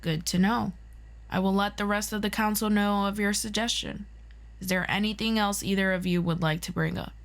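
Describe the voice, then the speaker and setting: A woman's voice: medium pitch, steady tone, normal speed, natural voice. A woman voicing a composed, authoritative interrogator from a hero agency council, formal and dry, in an audio roleplay. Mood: businesslike.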